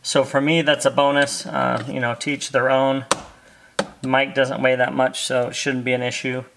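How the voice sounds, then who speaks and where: A man's voice: medium pitch, flat delivery, normal speed, creaky. A middle-aged American man giving a casual, easygoing hands-on tutorial, talking as he works inside a parked car. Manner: easygoing, talking while working with his hands. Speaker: host of a car accessory install video. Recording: faint background noise and clicks.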